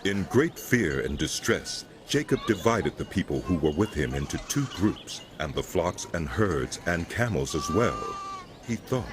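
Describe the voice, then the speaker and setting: Deep male narrator, lively intonation, solemn, measured, scripted Bible storytelling, music behind. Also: crowd noise.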